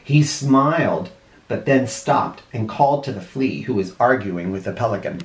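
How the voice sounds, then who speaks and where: A man reading a storybook aloud like a schoolteacher, expressive and deliberate, with dramatic emphasis. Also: mid-range voice. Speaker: first grade teacher. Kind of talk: animated storybook read-aloud for children. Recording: roomy, echoey indoor sound.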